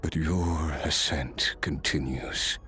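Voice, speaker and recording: Very deep, slow, weary immortal warrior. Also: male voice, fairly even intonation, sharp tone, faint music behind, heavily acted. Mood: resigned, hopeful. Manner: measured, grave, formal. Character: weary, cursed immortal warrior, scholarly and fatalistic. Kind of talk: dramatic video game voice acting.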